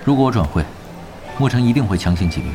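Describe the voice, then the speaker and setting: A man's voice: mid-range voice, fast, natural voice. A man playing a laid-back cocky veteran gamer. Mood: calm. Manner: relaxed, dry, teasing understatement. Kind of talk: audio drama acting. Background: background music.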